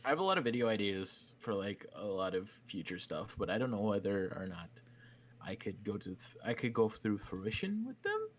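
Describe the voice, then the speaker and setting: A man's voice: fairly low pitch, sing-song, normal speed. A young man from Canada, chatting on a podcast in a rambling way, swearing a lot and restarting his sentences. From Manitoba, Canada. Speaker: small YouTuber and podcast co-host. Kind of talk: casual chat.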